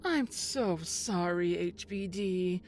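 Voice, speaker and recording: A woman's voice: lowish voice, takes it slowly, a little mellow, faint music behind. An actress playing a sly villainous woman in an audio drama, condescending with feigned sweetness. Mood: insinuating. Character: sly, scheming villainous old flame, woman. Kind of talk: scripted audio drama acting.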